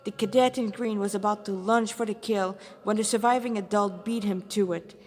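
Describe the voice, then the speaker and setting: A woman's voice: medium pitch, fairly even intonation, fairly quick, darkish tone, soft background music. Woman narrating a story in a reverberant room. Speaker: fan fiction author and reader. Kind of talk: read-aloud story narration.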